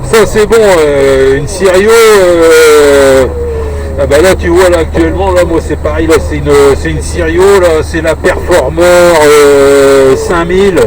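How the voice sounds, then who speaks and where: A man's voice: fairly high pitch, slow pace. A man chatting casually on CB radio in short, hesitant bursts. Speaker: CB radio operator. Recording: noisy in-car recording, road noise.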